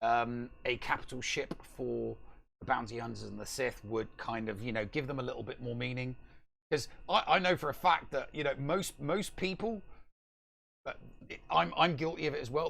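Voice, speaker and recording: A man's voice: mid-range voice, normal speed, vocal fry, a bit bright. A middle-aged man in casual gaming discussion as a podcast co-host, hesitant and often repeating his words. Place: small room at home, on a video call.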